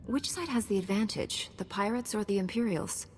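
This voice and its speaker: A woman's voice: mid-range voice, normal speed, faint music behind, lightly acted. Woman playing a resolute heroine, long reverb. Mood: calm. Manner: composed, direct. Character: composed, resolute heroic female Jedi knight. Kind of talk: video game cutscene acting. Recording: long reverb, faint background noise.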